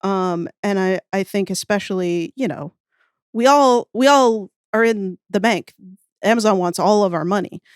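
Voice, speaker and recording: A woman's voice: medium pitch, normal speed, clear, resonant tone, no echo, studio quality. A woman speaking as a podcast guest in casual, opinionated conversation, thinking aloud in an informal, emphatic way. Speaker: podcast guest, audio fiction writer.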